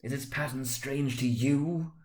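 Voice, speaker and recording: A man voicing a mournful male ghost in a dramatic storybook reading, with a slow, drawn-out, wailing solemnity. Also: medium pitch, steady tone, darkish tone, pitched above the performer's own voice, lightly acted. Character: mournful male ghost of a dead business partner.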